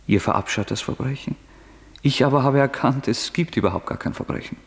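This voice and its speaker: An actor playing a proud, destitute young law student in a German radio play, intense and brooding. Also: male voice, medium pitch, brisk, gravelly, husky. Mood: fervent, contemptuous.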